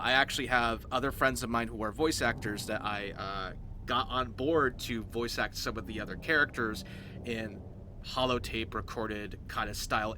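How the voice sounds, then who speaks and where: A man's voice: mid-range voice, noisy background. A man hosting a channel announcement, explaining his roleplay series to viewers in emphatic short phrases. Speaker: roleplay series creator and host.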